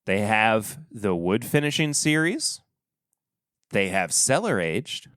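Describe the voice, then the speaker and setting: A man with a stuffed-up nose talks slowly and loosely on a podcast, often trailing off into quiet. His voice is smooth and clean, recorded close and dry with no room sound. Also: fairly low pitch, no room sound. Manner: relaxed, rambling, trailing off quietly. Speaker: podcast host. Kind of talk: casual solo review chat.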